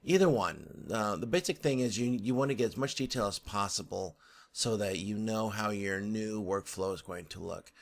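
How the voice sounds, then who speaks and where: A man's voice: on the low side, moderate pace, vocal fry. A man giving an informal slide tutorial as an instructor, explaining step by step with some hesitant, repeated phrasing. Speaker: course instructor.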